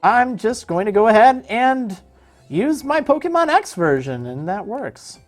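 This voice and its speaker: A woman's voice: on the high side, very animated, unhurried, soft background music. A woman streaming a video game in casual chat, answering viewers as she plays, her voice strained and tiring. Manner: chatty, answers viewer chat while playing.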